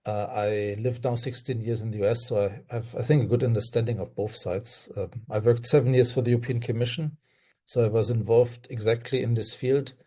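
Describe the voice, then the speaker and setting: Older German-accented man, mellow voice. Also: mid-range voice, fairly even intonation, a little fast. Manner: earnest, hesitant with fillers, laughs while speaking. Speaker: guest toxicologist. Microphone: video call.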